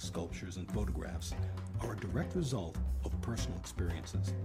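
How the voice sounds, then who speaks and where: A male host narrates a scripted radio feature. His voice is fairly low with lively, swinging intonation, and music plays clearly behind him.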